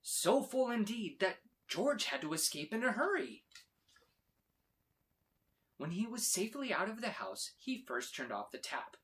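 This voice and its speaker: A man reading a children's story aloud with expressive, dramatic emphasis. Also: high-pitched, a little slow, long pauses. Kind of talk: animated read-aloud narration for children.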